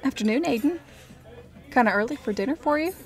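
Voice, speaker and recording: A woman's voice: high-pitched, animated, fairly quick. A woman playing a perky, teasing teenage waitress who is familiar with her customers, in an audio drama. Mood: teasing, friendly.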